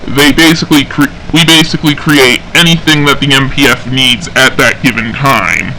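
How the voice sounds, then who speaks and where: A man playing an officer of a sci-fi police engineering unit, giving a halting, methodical, official briefing in an amateur audio log. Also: mid-range voice, sharp tone, a little hiss or hum, rough recording. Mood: official, matter-of-fact. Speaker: roleplay game server member recording an audio log. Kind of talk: semi-scripted in-character briefing log.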